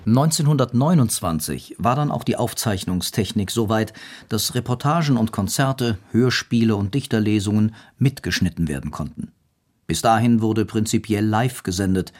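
A man's voice: on the low side, expressive, normal speed, darkish tone. A man reading scripted documentary narration as the narrator of a radio history feature.

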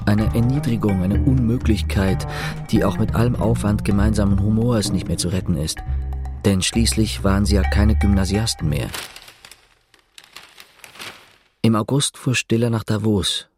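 An actor playing a reflective narrator writing his account, measured and ironic, in a German literary radio play. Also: on the low side, close to an everyday voice. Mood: reflective, sceptical.

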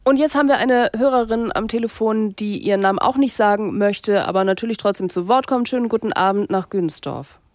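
A woman's voice: medium pitch, brisk, a little mellow, dry recording. A woman hosting a radio phone-in, asking polite, probing questions. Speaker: radio host. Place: radio studio.

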